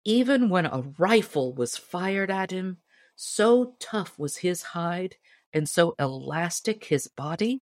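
A woman's voice: fairly low pitch, dry recording. Woman reading a tall tale, close and dry. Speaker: audiobook reader and storyteller. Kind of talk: scripted storybook narration of a tall tale.

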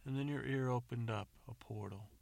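A man voicing a hapless adventurer in a told story, earnestly reciting terrible love poetry in a halting, overwrought way. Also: mid-range voice, vocal fry, darkish tone, no room sound, natural voice. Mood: earnest, yearning.

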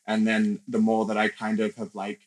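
A man's voice: fairly low pitch, completely flat delivery, normal speed. A young man from Australia, a podcast guest speaking reflectively in casual interview talk with many fillers.

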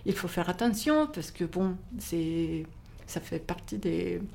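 A woman's voice: on the low side, slow, vocal fry, dark tone. A woman bookseller, not a native French speaker, talking about books in an interview, hesitant with many fillers and restarts. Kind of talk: interview conversation.

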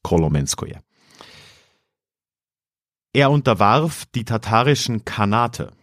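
A man's voice: lowish voice, very animated, moderate pace, no room sound, studio quality. A man hosting a podcast quiz in a casual, chatty way, reading out clues and commenting on the answers. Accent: South Slavic-influenced pronunciation of foreign names. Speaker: quiz host.

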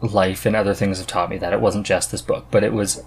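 Low-voiced man chatting on a podcast. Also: steady tone, a little fast, gravelly, a little mellow, slight background noise. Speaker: podcast host. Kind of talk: casual podcast conversation.

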